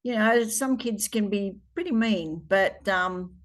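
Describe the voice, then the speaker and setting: A woman in her seventies with an Australian accent, recalling her family's past in an interview, pausing often with ums. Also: medium pitch. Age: 70s. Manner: hesitant, many ums, reflective. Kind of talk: oral history interview.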